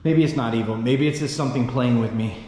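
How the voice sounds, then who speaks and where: A man's voice: mid-range voice, fast talker, mellow, husky. A man in his thirties exploring an abandoned mansion on a handheld selfie camera, jumpy and swearing often. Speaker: paranormal vlogger. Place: abandoned mansion and overgrown grounds, in and outdoors. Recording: noisy outdoor ambience.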